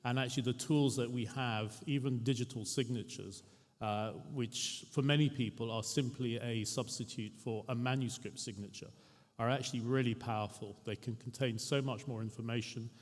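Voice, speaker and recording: A man moderating a conference panel, introducing speakers and laying out topics in a measured, explanatory way. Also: medium pitch, steady tone. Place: large conference hall stage. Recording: hall reverb.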